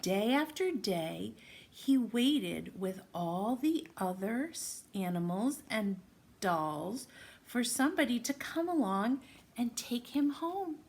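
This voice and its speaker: A woman's voice: a little high, animated, slow, a little mellow. A woman reading a storybook aloud to young children, encouraging them and putting questions to them directly as friends. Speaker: children's read-aloud presenter.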